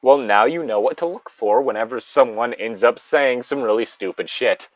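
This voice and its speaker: A man's voice: medium pitch, moderate pace, no echo, lo-fi sound. A man hosting a casual chat, talking in a crude, joking manner with frequent swearing. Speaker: podcast host.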